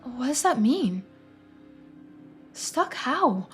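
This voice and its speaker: A woman voicing an excitable, chatty young woman who questions everything incredulously, as a character in a story game. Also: high voice, very slow, soft background music, pitched above the performer's own voice, exaggerated voice.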